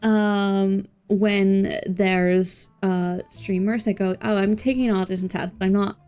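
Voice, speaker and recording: Casting: woman game streamer, mid-pitched, unhurried casual chat with playful exclamations, faint music behind. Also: clean tone. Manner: playful, expressive exclamations and silly filler words. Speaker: livestreamer. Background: faint video game music.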